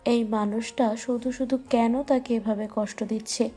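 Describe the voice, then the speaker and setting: A woman's voice: fairly high pitch, steady tone, moderate pace, warm tone. A woman narrating a scripted romantic story. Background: faint music.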